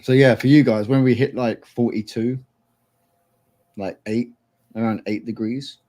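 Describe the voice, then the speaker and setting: A man co-hosting a casual chat, relaxed and rambling as he explains things step by step. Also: mid-range voice, measured pace. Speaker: co-host.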